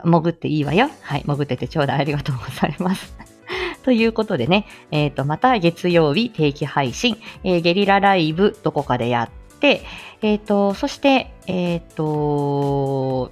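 A woman's voice: fairly low pitch, darkish tone. A woman hosting a live audio stream with casual announcements in a playful, giggly, polite manner.

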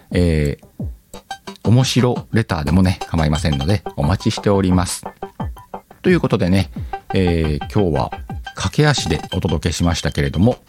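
Male host, very low register with animated intonation, informal self-mocking banter while reading letters over a clear music bed. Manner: laughs often, talks to himself, self-deprecating banter. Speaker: host of an audio show. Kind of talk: casual chatty solo radio talk, reading listener letters.

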